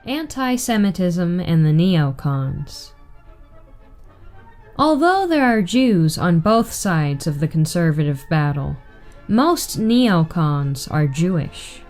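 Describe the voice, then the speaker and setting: Slow-paced woman reading aloud. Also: lowish voice, very animated, a little mellow, soft background music. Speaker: reader. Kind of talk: scripted reading of a political article aloud.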